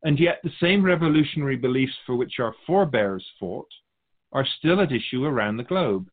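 A man playing a wise otherworldly guide of souls, teaching in a measured, philosophical and gently instructive conversational way. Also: mid-range voice, animated, phone-quality sound, natural voice. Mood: reflective, explaining. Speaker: guest answering questions. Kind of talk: conversational spiritual teaching, question and answer.

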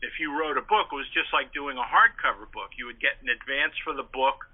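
A man's voice: mid-range voice, brisk, breathy edge. A man giving detailed, talkative explanations as a historian guest in an informal interview, speaking over the telephone. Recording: narrow-band phone-like radio line.

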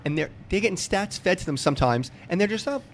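A man's voice: high-pitched, expressive, fast talker, slight background noise. An American man hosting a casual interview with a fan's enthusiasm, often repeating and stammering over words. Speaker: interview host. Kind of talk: casual sports-fan chat.

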